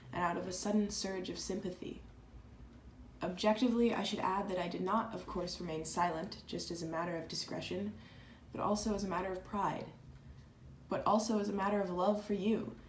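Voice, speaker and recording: A woman playing an earnest, proud and candid letter writer in a dramatized reading, speaking reflectively and deliberately. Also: mid-range voice, darkish tone, natural voice. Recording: echoey room with clear background noise.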